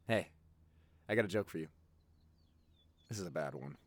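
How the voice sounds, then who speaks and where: A man's voice: deep voice, dry recording. A man playing a teasing, silly, doting boyfriend who has just woken up, rambling and gushing in an intimate audio roleplay. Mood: playful, silly. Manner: rambling, gushing, halting with repeated words.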